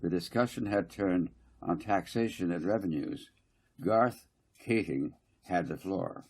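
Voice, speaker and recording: A man reading his own story as an audiobook narrator, halting and stumbling over words. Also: low voice, a little mellow.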